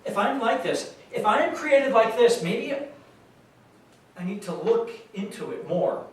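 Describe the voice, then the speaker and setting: A man acting an earnest, troubled youth worker bares himself in an emphatic, confessional stage monologue. His pitch is a little high, and he sounds far from the mic in a very echoey room with faint noise. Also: big pitch swings, unhurried. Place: live staged reading in a large room.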